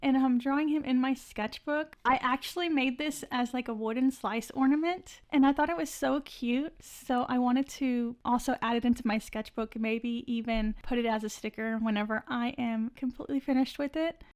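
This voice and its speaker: A woman's voice: high-pitched, steady tone, moderate pace, few pauses, darkish tone. A young woman narrating her drawing process in a casual, chatty way, her voice a bit scratchy from the flu. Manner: chatty, many likes and restarts, laughs often. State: scratchy voice, getting over flu. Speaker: art channel host.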